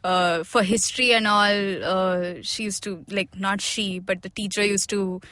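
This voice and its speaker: A woman's voice: medium pitch, steady tone, measured pace, pushed and bright, dry recording. A woman with an Indian accent talking casually as a comedian guest, in a slangy, joking, self-mocking manner. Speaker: guest, stand-up comedian and writer. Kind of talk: casual podcast chat.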